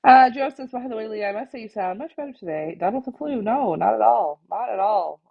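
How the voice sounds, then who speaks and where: A woman's voice: fairly high pitch, animated, fairly quick, gravelly, breathy edge, highs cut off. A woman with a head cold, co-hosting and reading out viewer comments with frequent laughter. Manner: laughs often, reads comments aloud.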